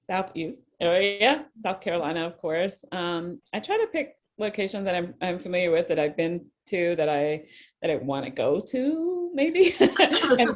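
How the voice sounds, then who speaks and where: An American woman, a novelist guest, answering casually in an interview and piecing her thoughts together with hesitant pauses. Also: on the low side, animated.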